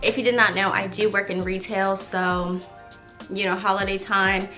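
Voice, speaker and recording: Bright chatty young woman vlogger. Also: medium pitch, little pitch movement, moderate pace, roomy sound, faint music behind. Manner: chatty, rambling, playful. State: slightly congested, nasal. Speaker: beauty vlogger. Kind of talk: casual makeup tutorial chat.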